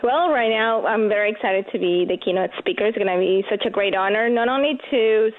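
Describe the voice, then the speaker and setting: A woman's voice: medium pitch, a little fast. A woman from Venezuela with a Venezuelan Spanish accent, a radio interview guest talking earnestly and often saying "you know". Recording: phone-line guest audio.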